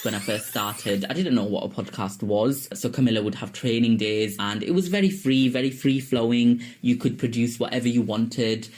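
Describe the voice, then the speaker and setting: A man's voice: mid-range voice, normal speed, hardly any pauses, darkish tone, soft background music. A young man giving a casual testimonial about learning to make podcasts.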